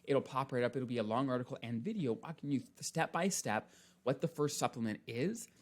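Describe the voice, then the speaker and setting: Male presenter, mid-pitched, conversational financial explainer; walks through points step by step, checking in often. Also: fairly quick. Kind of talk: casual instructional explanation.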